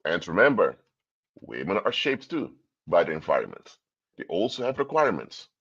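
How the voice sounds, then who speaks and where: A man's voice: deep voice, sing-song, moderate pace, a bit bright, husky, dull audio. A man from Curaçao, giving a livestream talk in a lecturing tone full of rhetorical questions. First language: Papiamento. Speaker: commentator. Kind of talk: livestream commentary.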